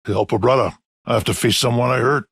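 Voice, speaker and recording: An earnest, big-hearted protector with a gravelly, husky voice. The sound is dry and close. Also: male voice, mid-range voice, fast talker, a bit bright, no room sound.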